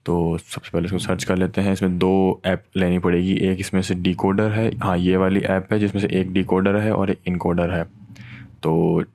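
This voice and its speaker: Tutorial host, male. Low voice, little melodic movement, informal step-by-step explaining. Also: level tone, moderate pace, a little mellow, no room sound. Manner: informal, mixes in English tech terms.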